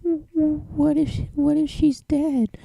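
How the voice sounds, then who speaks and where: Man doing a timid tearful girl pony in thin falsetto. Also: level tone, gravelly, warm tone, no echo, exaggerated voice. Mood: fearful, sobbing. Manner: halting, stammering sobs.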